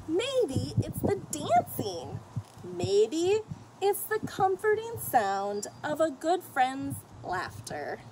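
A middle-aged woman reading a picture book aloud to children in an expressive, emphatic way, recorded outdoors on a camera a short distance away. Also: very high voice. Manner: expressive, emphatic, addressing children and characters directly. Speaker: children's story reader. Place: outdoors on a fenced patio or deck. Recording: outdoor camera audio with faint noise.

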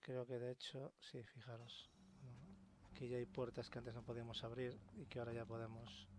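A man's voice: medium pitch, completely flat delivery, moderate pace. A man with an accent from Spain giving informal, mildly sweary let's play commentary. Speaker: gaming YouTuber. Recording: faint game ambience behind voice. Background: quiet video game ambience.

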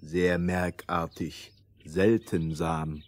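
Big dumb gruff lumberjack in a fairytale audio play, slow talker, blunt. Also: male voice, sits low, fairly even intonation. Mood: blunt, curious. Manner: blunt, muddles words. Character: gruff, slow-witted burly lumberjack strongman.

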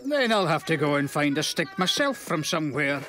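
A man's voice: fairly high pitch, very animated. A man voicing an older farmer in a children's cartoon, absent-minded and patient, muttering in a mildly grumbly way. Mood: puzzled, resigned. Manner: unhurried, muttering, sighing. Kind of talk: animated children's cartoon acting. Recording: music behind the voice. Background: music.

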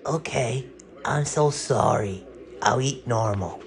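A man voicing a hot-tempered, clingy young male frog in a homemade skit, whiny and quick to threaten. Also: mid-range voice, slight background noise. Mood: sheepish.

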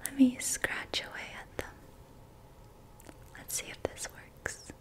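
A young woman whispering breathily in a soothing, gentle ASMR style as she guides an energy healing session. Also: takes it slowly. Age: young adult. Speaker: ASMR reiki practitioner. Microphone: close mic.

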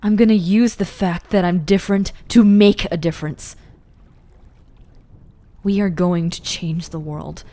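A woman playing a gentle, grieving but resolute young ghoul girl in a roleplay audio drama, speaking softly and earnestly with pauses and whispers. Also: lowish voice, steady tone, natural voice. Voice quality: soft, often breaking into whispers. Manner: slow, emotional, earnest, with pauses.

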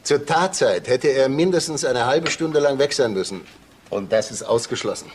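A man playing a calm, matter-of-fact police detective in a crime drama, with dry, measured delivery. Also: medium pitch, background noise, natural voice. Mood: reporting. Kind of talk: German TV crime drama acting.

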